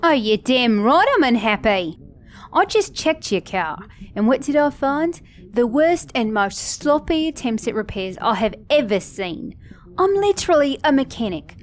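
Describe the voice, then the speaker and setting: High-voiced sassy, caring girlfriend, acted by a woman. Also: big pitch swings, a little slow. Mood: upset, scolding. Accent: Australian or British. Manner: sassy, affectionate, emphatic, addressing a partner directly. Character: sassy, caring female mechanic girlfriend, scolding then tender. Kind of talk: ASMR roleplay audio drama.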